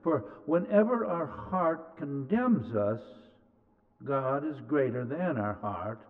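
A middle-aged man reads scripture slowly and deliberately from a pulpit. His voice is tinny and narrow, like a phone line, and a long reverb trails each phrase through the church sanctuary. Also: medium pitch. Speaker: scripture reader. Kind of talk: slow scripture reading. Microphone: pulpit mic, far camera.

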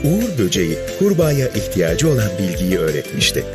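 A man's voice: on the low side, huge pitch swings, fairly quick, over music. A man narrating a scripted story for children.

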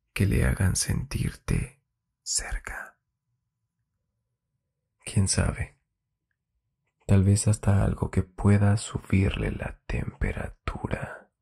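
A man with a very creaky, low voice speaks in a soft, intimate ASMR style. His delivery is slow and husky, drifting into whispers close to the microphone. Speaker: ASMR host. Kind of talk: intimate Spanish ASMR relationship advice. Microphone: close-up binaural microphone.

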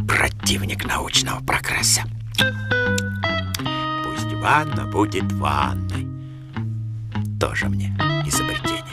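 A man's voice: fairly low pitch, exaggerated voice. A man voicing a crafty, lazy polar bear inventor in a cartoon, with a drawling, whiny, theatrical delivery. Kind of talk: cartoon voice acting. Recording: music under the voice.

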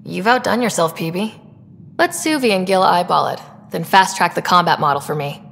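A woman playing a steady young human explorer in a video game, direct, composed and protective. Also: fairly low pitch, moderate pace, creaky, big-room sound, a little hiss or hum. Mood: approving. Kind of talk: video game dialogue acting.

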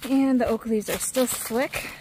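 A woman's voice: a little high, a little slow, background noise. A woman in her forties, filming herself on a phone at arm's length while hiking outdoors in mountain woods, talking in a chatty, upbeat way. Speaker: hiking vlogger. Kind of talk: casual trail vlog narration. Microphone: phone held at arm's length.

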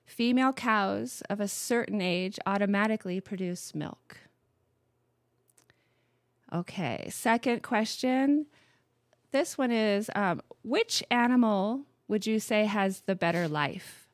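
A woman in her late forties from Montana, hosting a radio talk show in an unhurried, friendly way, with many ums. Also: mid-range voice, expressive, dry recording, clean studio sound. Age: 40s. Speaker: host.

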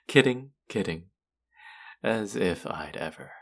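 A man's voice: deep voice, gravelly, fairly bright tone, heavily acted. A man playing a condescending, teasing young twin brother in an audio roleplay, with a mocking, drawling delivery aimed at the listener. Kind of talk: scripted roleplay addressed to listener.

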